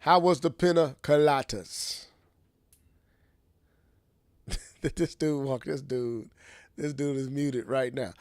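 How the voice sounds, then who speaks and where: A man hosting a casual gaming news stream, sounding stuffy and scratchy from congestion, slangy and quick to laugh. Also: medium pitch. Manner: animated, slangy, laughs often. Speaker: stream host. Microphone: studio earphones with mic.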